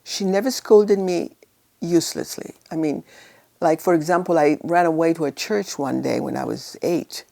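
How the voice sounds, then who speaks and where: Low gravelly older woman. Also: moderate pace, warm tone. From Italy. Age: 80s. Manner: rambling storyteller who laughs often. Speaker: actress interviewee. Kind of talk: casual life-story interview.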